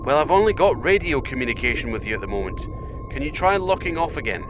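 An actor playing a cautious, fretful mission captain, stern and plain-spoken, in a sci-fi audio drama. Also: male voice, on the high side, big pitch swings, fast, music in the background, rough recording. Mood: worried.

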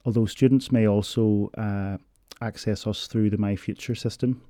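Guy explaining student support, flat low voice, lots of ums, dry sound. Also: no room sound. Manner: measured, explanatory, frequent ums. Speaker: university learning support staff. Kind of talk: informational interview answers.

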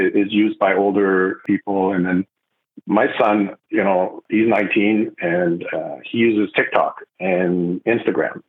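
Phone-like thin audio, low-voiced man, fifties, explanatory interview guest. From Montreal, Canada. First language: French. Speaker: guest expert, retired police officer. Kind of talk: conversational interview answers.

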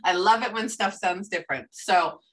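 A woman's voice: medium pitch, normal speed, fairly bright tone, recorded from a distance, poor audio. A Canadian woman co-hosting a casual podcast chat, talking with enthusiasm and plenty of restarts. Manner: enthusiastic, rambling, frequent restarts. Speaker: podcast co-host.

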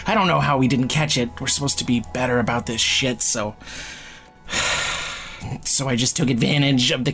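A woman playing a wounded, slangy criminal in an audio drama, joking and rambling while shaky with pain. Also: rumbling low. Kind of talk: fiction podcast audio drama acting.

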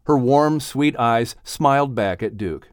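A man's voice: medium pitch, slow, clear, resonant tone, no echo, clean studio sound. A man narrating an audiobook story expressively, in a dramatic and earnest manner.

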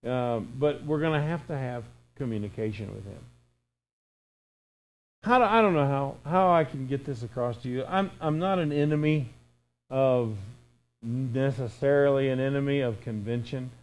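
A man's voice: medium pitch, lively intonation, normal speed. A man in his sixties preaching an informal, conversational sermon in a folksy way, with emphatic repetition and imagined dialogue. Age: 60s.

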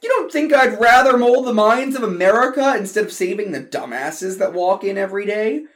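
A man's voice: high-pitched, expressive, a little fast, pitched above the performer's own voice. A man doing an exasperated doctor brother. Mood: frustrated. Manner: heated, raising his voice.

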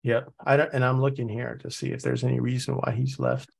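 A man's voice: medium pitch, fairly even intonation, brisk, vocal fry, darkish tone, breathy edge. Male podcast host, mid-range voice, casual and musing, reads notes aloud. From Norway. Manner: thinks aloud, reading and musing with many restarts. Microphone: remote call setup.

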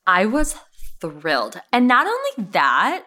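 Woman, bright forceful voice, very lively intonation, podcast co-host, informal gushing recap chat. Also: mid-range voice, slow.

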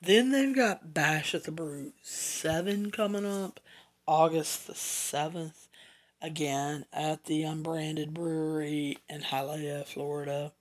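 Southern-accented solo podcaster, unhurried, wanders into side chatter, corrects themselves. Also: mid-range voice. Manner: rambling, chatty asides, self-corrections. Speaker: podcast host. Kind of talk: casual solo podcast rundown.

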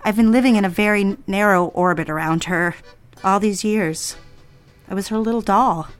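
A woman's voice: medium pitch, normal speed, lightly acted. Actress as a coy, lovestruck young woman over music. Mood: wistful, confiding. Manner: coy, teasing, girlish sophistication. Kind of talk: audio drama romantic phone dialogue.

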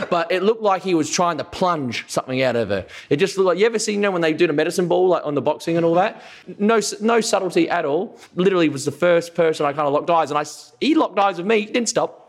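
A man talking as a guest performer, telling personal stories in a casual, candid and animated way. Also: fairly high pitch. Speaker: guest actor and comedian. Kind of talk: casual storytelling.

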